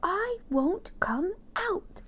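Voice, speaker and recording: A woman's voice: very high-pitched, animated, drawn-out words, a bit bright, pitched above the performer's own voice, full character voice. A woman voicing a grumpy, sleepy, stubborn male groundhog who grumbles in a children's storybook read-aloud.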